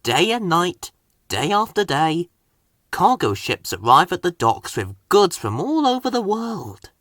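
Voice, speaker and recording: Children's story narrator, male, measured pacing, wide lively intonation, bright voice. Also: high-pitched, dry recording, clean studio sound. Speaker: storybook reader.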